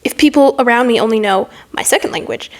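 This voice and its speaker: A woman's voice: fairly high pitch, fast talker. A young woman talking casually to camera in a vlog, chatty and full of fillers, acting out little quoted exchanges with a self-mocking edge. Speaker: vlogger.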